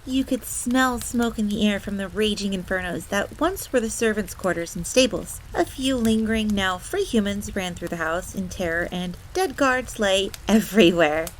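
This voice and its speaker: A woman's voice: medium pitch, normal speed, hardly any pauses, a little hiss or hum, lightly acted, low-quality recording. A woman voicing a timid, eager fox maid in a fantasy audio roleplay, speaking deferentially and calling herself by name in the third person. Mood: hushed storytelling. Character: timid, eager, easily flustered female fox maid servant. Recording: steady rain ambience under the voice. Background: rain.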